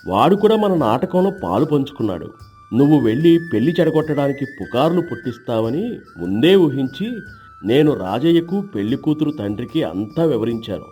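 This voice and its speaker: A man playing an emphatic, admonishing village husband in an acted moral story, with a husky voice. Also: mid-range voice, big pitch swings, a little fast, warm tone, faint music behind, natural voice. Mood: amused, explaining. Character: indignant village husband, teasing, morally lecturing.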